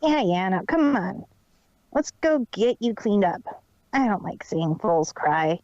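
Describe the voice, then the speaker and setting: A woman voicing a brash young female pegasus pony who is gruffly caring and casual, in a storybook reading. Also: medium pitch, very animated, unhurried, a little mellow, no room sound, muffled recording. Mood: reluctantly kind.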